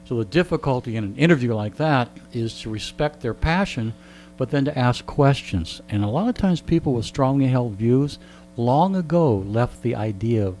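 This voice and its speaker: A man's voice: medium pitch, animated, normal speed, darkish tone, a little hiss or hum. A man talking casually as a radio interview guest, telling anecdotes with a wry, joking manner. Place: radio studio.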